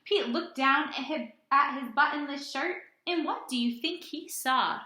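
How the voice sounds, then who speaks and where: A woman with a high, bright voice leads a read-aloud lesson for small children. She speaks slowly and deliberately in a sing-song way, posing questions and answering them. The sound is roomy and echoing. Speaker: early-grades teacher.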